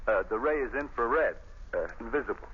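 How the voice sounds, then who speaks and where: A man's voice: mid-range voice, animated, fairly quick, lightly acted. An actor playing a naive, eager male electrician in a 1930s radio drama, chatty and a bit hesitant. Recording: tinny, hissy old radio broadcast.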